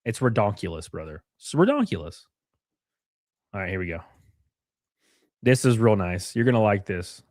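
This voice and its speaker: A man's voice: lowish voice, big pitch swings, normal speed, long pauses, a little mellow, no echo, studio quality. A man hosting a casual reaction livestream. He talks in a sarcastic, rambling way, greets chatters by name and sniffles from blocked sinuses. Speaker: livestream host.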